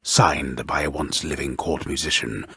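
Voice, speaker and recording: A grave, grandiose storyteller of the underworld for a video game. His voice is extremely low and heavily husky, with a gravelly edge. He narrates in measured, formal phrases with dry, wry mockery, recorded close with no room sound. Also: fairly even intonation, bright, forceful tone, no room sound, exaggerated voice. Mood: solemn, wry. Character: grave, grandiose male storyteller of an underworld myth, drily mocking.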